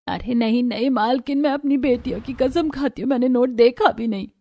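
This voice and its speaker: A woman's voice: a little high, brisk, dark tone, dry recording. A woman playing a poor, earnest mother who works as a housemaid, speaking respectfully and pleadingly in a moral story. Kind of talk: dramatized Hindi moral story acting.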